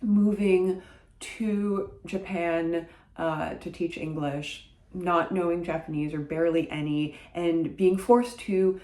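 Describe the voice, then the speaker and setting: Bilingual woman with a lowish voice. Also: fairly even intonation, slow pace, mellow. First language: French. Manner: thoughtful, hesitant, many uh fillers. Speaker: book vlogger. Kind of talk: casual book review to camera. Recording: roomy echo.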